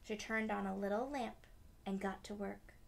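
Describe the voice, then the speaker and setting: A woman's voice: mid-range voice, steady tone, moderate pace, creaky. A woman in her twenties reading a storybook aloud expressively, with asides to young children. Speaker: children's read-aloud host. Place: small decorated room indoors.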